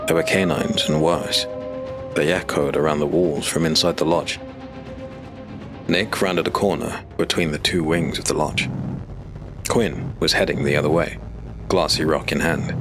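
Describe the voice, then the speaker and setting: A man narrating a scripted dark fantasy story as an audiobook narrator. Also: rumbling low.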